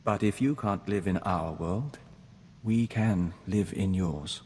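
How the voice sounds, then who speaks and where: A man voicing a gentle, devoted diplomat of an insect hive mind, formal and measured, in a video game. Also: low-pitched. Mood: tender, earnest. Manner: formal, measured, speaks of himself as we. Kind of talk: scripted video game dialogue acting. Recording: long reverb, noisy game ambience.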